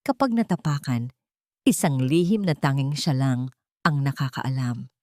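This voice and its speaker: A woman with a Filipino accent narrating a dramatic story in a solemn, deliberate manner. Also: rumbling low, expressive, mellow, no room sound. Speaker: audiobook narrator.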